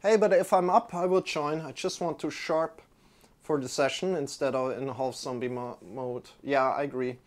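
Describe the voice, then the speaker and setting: Young German yoga teacher, casual livestream chat, clip-on mic, loose and rambling. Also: male voice, medium pitch, steady tone, moderate pace. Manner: rambling, friendly, restarts often. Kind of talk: casual chat with live viewers. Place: indoor room, sitting on a yoga mat.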